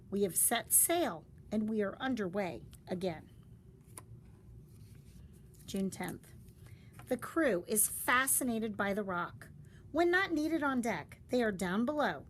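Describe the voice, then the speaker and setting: A woman reads a storybook aloud with deliberate drama. Her fairly high voice moves through lively, animated intonation. A faint background noise sits behind the reading. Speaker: storybook reader. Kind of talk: expressive read-aloud of a story.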